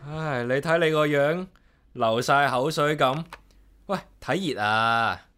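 A man's voice: a little high, takes it slowly, fairly bright tone, clear, resonant tone. An actor playing an easygoing man who lives alone, speaking in a casual, wry manner in a radio drama. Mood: amused, wry. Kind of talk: Cantonese radio drama acting and narration.

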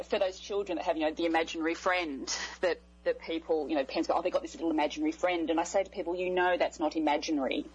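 A woman's voice: on the low side, slightly rough, highs cut off. A woman with an Australian accent, a guest on a radio show speaking over a video call, friendly and laughing easily. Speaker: guest, clairvoyant medium. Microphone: video call line.

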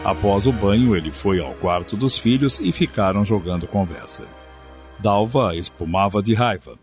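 Low-voiced male radio drama narrator. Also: moderate pace. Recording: dull audio. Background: music.

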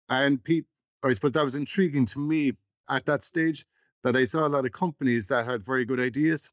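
A man's voice: medium pitch, no echo. A man, a startup chief executive explaining his background conversationally as an interview guest.

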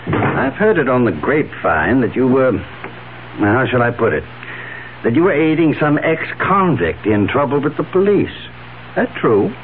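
An actor playing a formal, fussy, disapproving professional man in a 1970s radio drama, with measured phrasing. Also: medium pitch, big pitch swings. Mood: probing, disapproving. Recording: tinny, noisy old radio broadcast.

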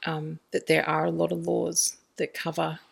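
Husky, gravelly woman host. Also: low voice, completely flat delivery, normal speed. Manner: teasing, wry. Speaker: podcast host. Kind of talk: casual conversation.

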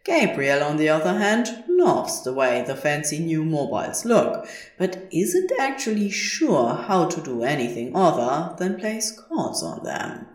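A woman's voice: low-pitched, sing-song, unhurried, husky. A woman reading scripted fiction aloud as a podfic narrator.

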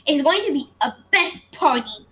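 A woman's voice: sits high, animated, moderate pace, sharp tone. A woman voicing a bossy little girl who sets the rules for her birthday party.

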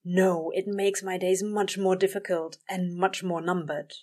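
A woman voicing a weary, dramatic male demon in an audio story, with drawn-out, exasperated grumbling. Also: fairly low pitch, flat delivery, darkish tone. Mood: frustrated.

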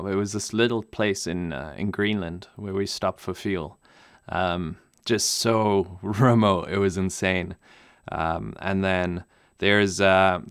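Deep-voiced, smooth man, halting and relaxed. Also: dry recording, studio quality. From Namibia. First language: German. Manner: relaxed, hesitant with many ums and restarts, laughs easily. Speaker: pilot and video maker. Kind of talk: casual interview answers.

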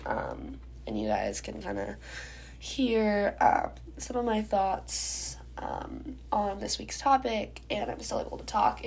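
A woman's voice: medium pitch, gravelly, husky. A young woman hosting a casual solo podcast, chatting loosely with lots of ums, her voice stuffy and congested from a recent illness. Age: young adult. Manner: chatty, many ums, getting ready while talking. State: congested, stuffy nose, sore throat. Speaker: podcast host.

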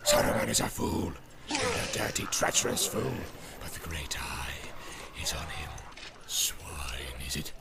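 A man voicing a soft-spoken, sly and evil male orc messenger who sneers in a hissing whisper. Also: a bass voice, wildly swooping pitch, a little slow, pitched below the performer's own voice, full character voice. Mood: scheming. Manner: sneering, menacing. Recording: loud background hiss.

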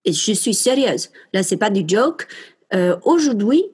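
A woman's voice: mid-range voice, very animated, unhurried, darkish tone, slightly rough. Passionate young Italian-accented woman. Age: 20s. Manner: passionate and emphatic, addresses listeners directly. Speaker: mother sharing her home birth story. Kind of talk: casual spoken monologue.

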